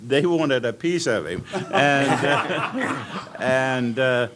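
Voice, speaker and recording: An older American man telling anecdotes as a guest on an informal panel, halting and rambling as he reminisces. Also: medium pitch. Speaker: panel guest at old-time radio convention. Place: indoor convention panel room. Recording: clearly noisy convention room recording.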